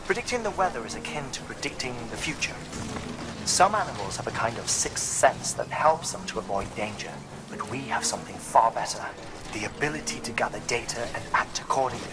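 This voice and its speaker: A male actor as a thoughtful game character with a mid-pitched voice. He lectures philosophically and articulately, reflecting on humanity in a scripted monologue over loud music. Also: lively intonation, a little fast, lightly acted. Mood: reflective.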